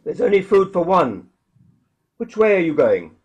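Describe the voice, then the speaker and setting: An actor playing a jumpy, defiant militiaman surviving alone, with a folksy, halting, agitated delivery in a radio play. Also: high voice, big pitch swings, slightly rough, heavily acted, rough recording. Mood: wary, jumpy. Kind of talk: dramatic radio play dialogue.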